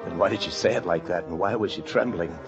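An actor playing a paranoid fugitive husband, narrating an old-time radio thriller with intense dread. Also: male voice, low-pitched, fast, vocal fry. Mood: panicked, paranoid. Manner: intense, breathless dread, swinging from brooding to frantic shouts. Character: desperate, paranoid fugitive husband confined in a locked room. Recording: dull old radio recording with music.

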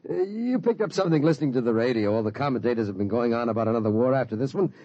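A husky, stammering lawyer father in an old radio play, his voice leaping up and down with dismay. The sound is dull and lo-fi, dry and close. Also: mid-range voice, animated, rapid-fire, warm tone, no echo. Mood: bewildered. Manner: flustered, stammering. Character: worried middle-aged lawyer father. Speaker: father of the boy. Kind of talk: 1940s radio acting.

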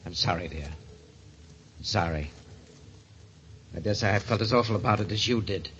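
An actor playing a tormented, obsessive newlywed professor on the edge of madness, halting and repeating himself in an old radio thriller style. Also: male voice, fairly low pitch, steady tone, fairly bright tone, natural voice. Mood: grieving, shaken. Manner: halting, repetitive, anguished. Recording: muffled, hissy old radio recording.

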